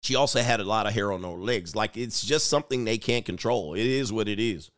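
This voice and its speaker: A man's voice: on the low side, a little fast, fairly bright tone, no room sound, clean studio sound. A man giving casual, rambling reaction commentary, joking and trailing off mid-thought. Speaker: YouTube commentator.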